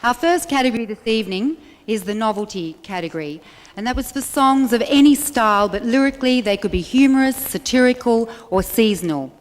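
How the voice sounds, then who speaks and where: A woman's voice: a little high, lively intonation, a little fast. A woman presenting a song contest, reading out winners and prompting applause. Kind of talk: stage announcement. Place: arts centre stage. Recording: long hall reverb with faint crowd.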